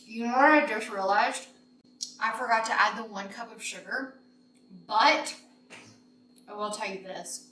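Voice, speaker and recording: A chatty woman with a fairly high voice walks viewers through a recipe in an informal way. She is recorded a few feet from the camera in a home kitchen, so the sound is noticeably roomy, with faint background noise and some clinking of dishes. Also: unhurried, pauses often, pushed and bright. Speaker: home baking vlog host. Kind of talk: casual recipe demonstration. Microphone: camera a few feet away.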